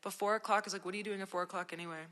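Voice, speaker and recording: Hoarse, laid-back young woman from Jersey. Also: mid-range voice, steady tone, very fast, bright, forceful tone, in a large hall, slight background noise. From New Jersey. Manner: laid-back, rambling, many "like" and "um" fillers. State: hoarse, voice worn from illness. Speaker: independent musician livestreaming. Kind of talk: casual livestream chat with viewers.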